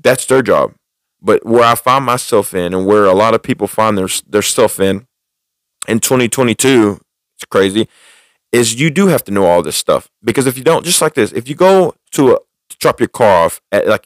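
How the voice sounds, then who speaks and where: Low-voiced man venting on a podcast. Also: no room sound. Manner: repetitive, emphatic, with restated phrases. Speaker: podcast host. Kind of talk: casual personal storytelling and venting.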